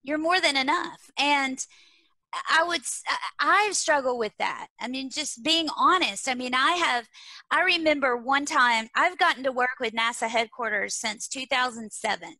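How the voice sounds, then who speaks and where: A woman's voice: high voice, normal speed, sharp tone, no room sound, poor audio. A woman with a Texas accent, speaking earnestly as a guest on leadership and repeating her key phrases for emphasis. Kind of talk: conversational interview.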